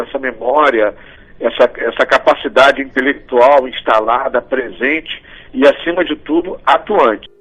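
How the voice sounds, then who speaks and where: A Brazilian man talking informally in an interview, halting and often adding tag questions. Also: medium pitch, a bit bright, husky, poor audio. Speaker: radio coordinator interviewee.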